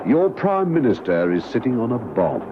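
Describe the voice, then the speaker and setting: A man playing a gloating, coolly menacing villain in a classic spy radio drama, speaking in a measured way. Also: mid-range voice, sing-song, lightly acted. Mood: gloating menace. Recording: noisy, muffled phone-like vintage radio recording.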